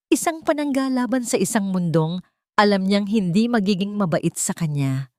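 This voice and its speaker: Woman, lower-pitched voice, dry sound, scripted romantic drama narration. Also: a little mellow, no room sound. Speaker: storyteller.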